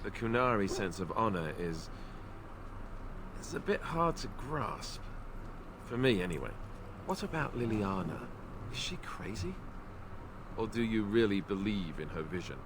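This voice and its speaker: A man's voice: lowish voice, lively intonation, unhurried, pauses often, noisy background. An actor playing a wary, gossipy young male companion in a fantasy video game, conversational and wry. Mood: doubtful, wry.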